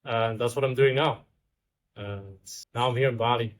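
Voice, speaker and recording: A man's voice: mid-range voice, steady tone, normal speed. Man, 20s, from the Netherlands, casual podcast guest; easygoing, jokey, a few restarts. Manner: easygoing and joking, with small restarts. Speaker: guest, researcher. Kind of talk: casual chat. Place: outdoors in Bali.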